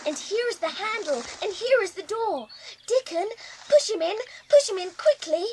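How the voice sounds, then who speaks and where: A woman playing an eager, excitable young English girl, well-spoken, in an audiobook drama. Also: extremely high pitch, normal speed. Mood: excited, eager. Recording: noticeable ambient background noise.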